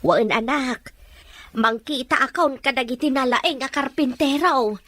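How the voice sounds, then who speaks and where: A woman's voice: high voice, measured pace, fairly bright tone, no room sound. A woman playing a caring, outspoken older mother in a radio drama. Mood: affectionate.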